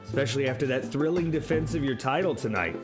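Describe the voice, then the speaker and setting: Animated, admiring mayor over music. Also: female voice, a bass voice, fast talker. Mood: admiring, coy. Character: admiring, flirtatious mayor of Mexico City. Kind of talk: audio drama acting. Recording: music behind the voice.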